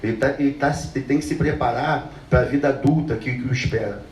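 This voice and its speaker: A man's voice: mid-range voice, fast, a little mellow. A man from Brazil, speaking bluntly and passionately to an audience and repeating phrases for emphasis. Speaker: speaker telling his life story. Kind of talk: earnest talk to an audience. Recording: roomy, distant mic with faint noise.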